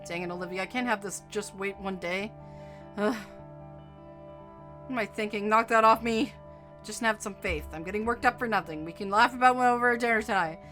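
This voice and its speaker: A woman narrating as a soft-spoken, anxious young boyfriend in a first-person story. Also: medium pitch, brisk, faint music behind, close to an everyday voice. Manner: quiet, fretful, self-doubting.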